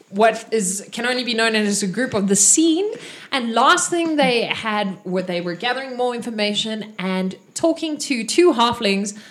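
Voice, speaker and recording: Irish woman, game master, chatty punster narrating a tabletop session. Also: on the high side. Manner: chatty, punny, laughing asides.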